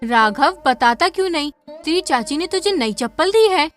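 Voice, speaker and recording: A man's voice: very high-pitched, animated, normal speed, a bit bright, soft background music, pitched above the performer's own voice. A man voicing a poor, weary village mother in a cartoon story who is resigned but protective of her children. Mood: coaxing. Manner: resigned yet fiercely protective.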